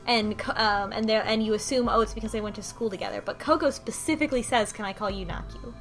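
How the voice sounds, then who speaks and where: A woman's voice: a little high, fast talker, a bit bright. A woman in an informal, conversational chat as a podcast panelist, recapping a story. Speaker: book club podcast panelist. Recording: music playing behind the talk. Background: music.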